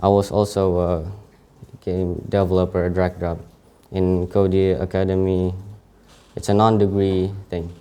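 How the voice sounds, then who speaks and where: A teenage student whose first language is Indonesian presents his own CV in English with an Indonesian accent. His voice sits a little low and has a warm, soft tone. He speaks haltingly, often breaking off and correcting himself. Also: flat delivery. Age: late teens. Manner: halting, frequent self-corrections. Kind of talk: informal presentation.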